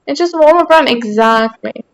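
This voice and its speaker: An Austrian woman with a high voice and a light Austrian German accent streams a game and chats casually with her viewers. She answers their messages in a chatty, self-deprecating way. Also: moderate pace, rough recording. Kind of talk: casual livestream chat while gaming.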